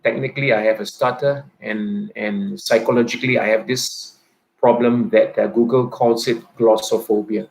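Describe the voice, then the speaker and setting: Soft-spoken man who stutters. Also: fairly low pitch, fairly even intonation, gravelly, slight background noise, low-quality recording. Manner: stutters, halting with frequent repeats and restarts. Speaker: teacher. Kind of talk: personal reflective talk.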